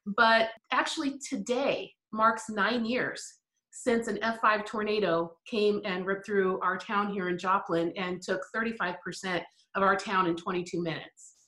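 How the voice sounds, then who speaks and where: A middle-aged woman talks in an animated, reflective way, breaking into laughter now and then. Her voice is bright and forceful. The room around her rings with echo. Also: mid-range voice, unhurried. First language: English. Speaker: guest. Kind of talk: interview conversation.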